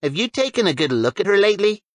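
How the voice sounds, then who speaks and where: Man acting a cocky, teasing teenage boy, pitched up. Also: very animated, fast, no room sound.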